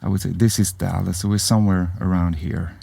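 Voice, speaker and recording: Low-voiced man interviewing, close and dry. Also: little pitch movement, fairly quick, gravelly, warm tone, husky, no echo. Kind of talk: casual conversational interviewing.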